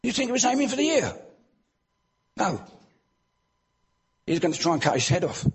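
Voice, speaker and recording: A male preacher delivering a sermon in a church, his voice unusually high and very husky. He swings widely in pitch, rising into loud exclamations and dropping low for rhetorical questions to the congregation. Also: big pitch swings, moderate pace.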